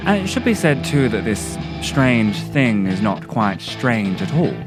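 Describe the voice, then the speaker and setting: A man reading scripted narration for a video essay in a theatrical, deliberate, literary manner. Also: medium pitch, big pitch swings, loud music behind the voice. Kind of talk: scripted narration, dramatic horror-tinged monologue and video essay.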